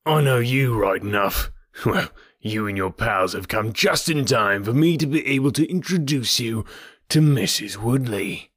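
A man's voice: mid-range voice, expressive, unhurried, fairly bright tone, slightly rough, no echo, studio quality. Man playing a gloating, brutal young bully. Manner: taunting, jeering.

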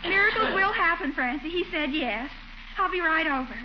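A teenage girl in an old radio comedy, very high-voiced, earnest and dramatically plaintive. The recording is dull, with clear noise behind her. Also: lively intonation, moderate pace. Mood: relieved. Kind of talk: 1940s radio comedy acting.

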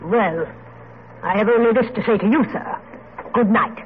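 An actress playing a sour, sharp-tongued landlady, curt, suspicious and scolding, in an old radio drama. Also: fairly high pitch, normal speed, lightly acted. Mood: indignant. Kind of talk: 1940s-50s radio drama acting. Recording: tinny, noisy old radio recording.

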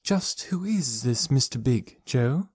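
A man doing a gentle, tactful mother. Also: on the high side, animated, fairly quick, slightly rough, dry recording, clean studio sound, voice pushed up. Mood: coaxing.

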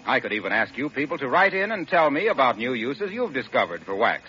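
An American man working as a 1940s radio announcer, delivering folksy, polished sponsor pitches and introductions. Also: sing-song, fairly quick, pushed and bright. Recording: dull, lo-fi 1940s radio recording.

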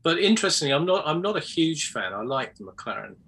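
A man's voice: on the high side, big pitch swings, fast talker, gravelly, a bit bright, husky. A man in his sixties from England, a photographer chatting as a guest in an interview, hesitant with many ums and restarts, over a video call. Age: 60s. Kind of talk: casual interview chat.

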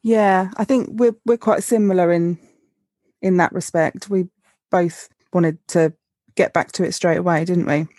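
A woman's voice: lowish voice, fairly even intonation, unhurried, warm tone, no echo, clean studio sound. A woman in her thirties co-hosting a podcast, speaking frankly and openly in casual, heartfelt conversation with a wry edge. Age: 30s. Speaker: podcast co-host.

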